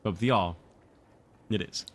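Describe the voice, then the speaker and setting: A man streaming casually, chatting and reading a storybook aloud in an expressive, informal way. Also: lowish voice, measured pace. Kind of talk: casual livestream chat and expressive read-aloud narration.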